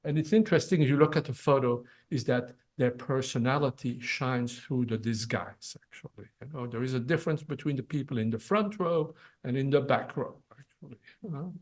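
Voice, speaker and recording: Older Belgian-born professor giving a talk. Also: male voice, medium pitch. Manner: halting, many fillers and restarts. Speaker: retiring professor. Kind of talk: ceremony presentation. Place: event hall.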